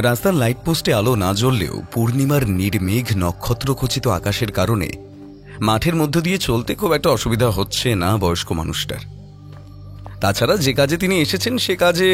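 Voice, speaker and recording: A man giving an expressive, scripted narration of a horror story. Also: medium pitch.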